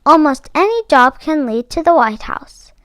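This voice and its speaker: A woman reading a children's picture book aloud as a storyteller, phrasing deliberately and stressing key words. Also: high voice, expressive, a bit bright, no echo, clean studio sound. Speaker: read-aloud book club host.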